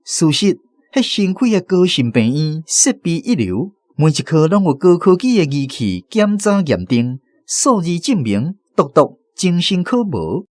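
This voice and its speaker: A man's voice: fairly high pitch, very animated, a little slow, a little mellow, dry recording, studio quality. A man with a Taiwanese accent narrating a radio drama as a storyteller.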